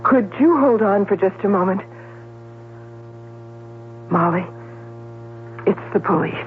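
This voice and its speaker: An actress playing a brisk, self-assured newspaper columnist in 1970s radio drama, composed and theatrical in delivery. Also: female voice, mid-range voice, lively intonation, a little hiss or hum. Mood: coolly controlled. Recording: tinny, humming old radio broadcast recording.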